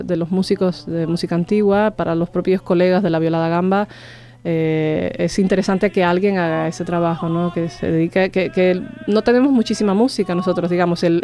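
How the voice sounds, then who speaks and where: A woman from Cuba, a viola da gamba player speaking haltingly as a guest in an interview, with many restarts. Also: on the low side, warm tone. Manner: hesitant, many restarts and fillers. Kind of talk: radio interview.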